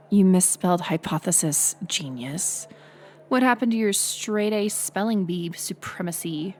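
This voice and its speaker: A young woman playing a mocking college bully in an audio roleplay, sarcastic and teasing, with a hidden softer side. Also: lowish voice, animated, unhurried, a little hiss or hum. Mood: smug, sarcastic. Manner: sarcastic, teasing, scoffing with sighs and breathy asides. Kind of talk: audio roleplay acting, intimate one-sided dialogue.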